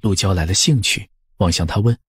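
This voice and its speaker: A man's voice: deep voice, fairly quick, fairly bright tone, husky, dry recording, clean studio sound. A man narrating an audiobook story from a script.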